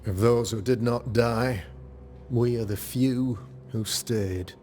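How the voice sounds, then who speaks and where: A man playing a pious, grave colonial demonologist in a video game, speaking in a formal, measured, rhetorical way. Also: medium pitch, natural voice. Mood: solemn. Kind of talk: dramatic video game cutscene acting.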